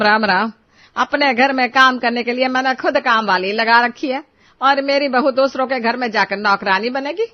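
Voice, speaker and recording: Woman playing a scandalized, loudly scolding old mother-in-law. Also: high-pitched, gravelly, highs cut off, pitched above the performer's own voice. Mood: lamenting outrage. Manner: exclamatory, lamenting, taunting. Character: scandalized, scolding elderly mother-in-law, proud and status-conscious.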